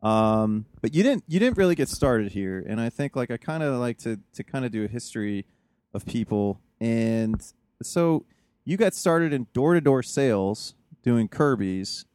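A man's voice: medium pitch, expressive, normal speed, darkish tone, clean tone, dry recording. A man hosting a casual interview, asking rambling, hesitant questions full of fillers. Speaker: podcast host.

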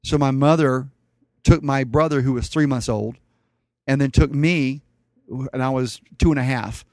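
A man's voice: medium pitch, steady tone, moderate pace, darkish tone, dry recording. A middle-aged man telling family stories in a blunt, wry way, a guest in casual conversation. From Colombia. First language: Spanish. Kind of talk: casual storytelling.

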